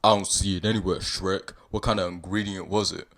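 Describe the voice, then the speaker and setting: Man acting a coy, loudly gushing young lover. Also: sits low, flat delivery, fairly quick. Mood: earnest, concerned. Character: shy, adoring young lover of an ogre. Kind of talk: comedic fan fiction read aloud.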